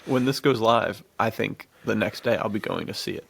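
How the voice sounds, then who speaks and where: A man's voice: on the low side, normal speed, creaky, a bit bright, no room sound. A man co-hosting a podcast, chatting casually and enthusiastically about action movies in a rambling way. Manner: enthusiastic, rambling, chuckling often. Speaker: podcast co-host.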